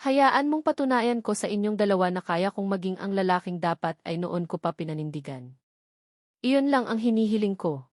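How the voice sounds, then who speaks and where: A woman's voice: mid-range voice, lively intonation, moderate pace, a little mellow, no room sound, studio quality, natural voice. A woman voicing a remorseful husband in an audio love story, pleading earnestly to be allowed to be a father.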